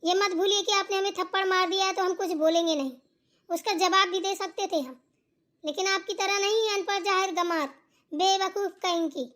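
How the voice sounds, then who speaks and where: A woman voicing a quick-tempered young daughter-in-law in a family cartoon, arguing and complaining with sarcasm. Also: very high voice, steady tone, a bit bright. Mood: defiant, angry. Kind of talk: amateur Hindi family cartoon dialogue.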